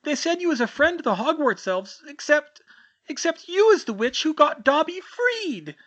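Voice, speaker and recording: A quivering, squeaky house-elf girl. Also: big pitch swings, moderate pace, a bit bright, lo-fi sound. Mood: startled. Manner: broken grammar, deferential. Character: timid female house-elf, frightened and anxious.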